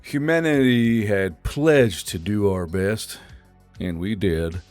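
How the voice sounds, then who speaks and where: A man performs a battle-worn drill sergeant in a sci-fi audio story, solemn and heavy with regret. He speaks very slowly in a fairly low voice, as if delivering a grave speech. Faint music plays underneath. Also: a little mellow, dry recording. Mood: solemn, regretful. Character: weary, solemn veteran male drill sergeant recounting an alien war. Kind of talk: dramatic audiobook character reading.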